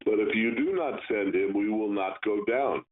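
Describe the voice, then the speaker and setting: A man's voice: fairly low pitch, moderate pace, slight background noise, close to an everyday voice. A man reading the part of a resolute grown son in a scripture reading, over a tinny radio sound. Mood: firm.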